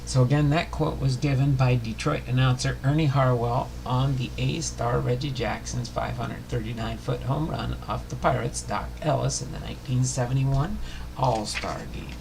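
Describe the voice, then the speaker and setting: A man hosting a casual livestream, chatting and reading aloud in a halting, unpolished way. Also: medium pitch, fairly even intonation. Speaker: livestream host. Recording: clearly noisy room recording.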